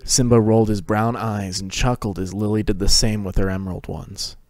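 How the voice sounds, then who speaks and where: A man's voice: lowish voice, little pitch movement, fairly quick, darkish tone, no echo. A man giving a scripted dramatic reading of a fantasy story as narrator. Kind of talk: dramatic reading of fan fiction.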